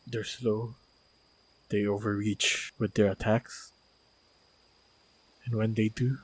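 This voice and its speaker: Man playing a low, unhurried fantasy swordsman. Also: little pitch movement, breathy edge, rough recording. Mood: calm, reflective. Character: calm, modest human swordsman, loyal companion to a princess. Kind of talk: fantasy action audio roleplay.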